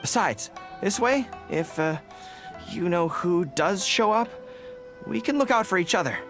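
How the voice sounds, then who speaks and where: A man's voice: high-pitched, animated, a little slow, fairly bright tone. A man playing a shy, dutiful young army general in a video game, speaking in an earnest, boyish, sometimes hesitant way. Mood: friendly, wary. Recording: game music behind the voice.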